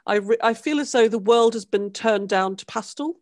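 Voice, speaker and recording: A middle-aged woman from Trinidad and Tobago, a guest author on a video-call panel. She talks in an animated, casual way through a headset, with many ums. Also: on the high side, normal speed. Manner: animated, gestures while talking, many ums. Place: home room, video call.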